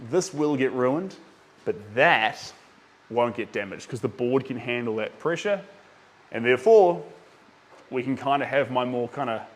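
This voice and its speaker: Chatty Kiwi painter on a livestream. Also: male voice, mid-range voice. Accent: New Zealand. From New Zealand. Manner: chatty, rambling with many ums, reads out chat names. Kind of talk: casual livestream chat while painting.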